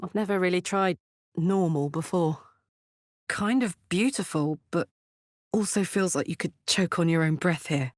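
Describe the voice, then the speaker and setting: A woman's voice: lowish voice, moderate pace, no room sound, studio quality, close to an everyday voice. An actress voicing a brash, big-hearted young female warrior in a video game, blunt and swaggering, tough yet playful and emotionally open. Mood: curious, musing. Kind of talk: video game character voice lines.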